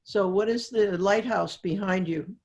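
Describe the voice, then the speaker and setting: A woman's voice: mid-range voice, unhurried, a little mellow, lo-fi sound. A woman chatting casually as a participant in an online reading discussion. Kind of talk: casual chat.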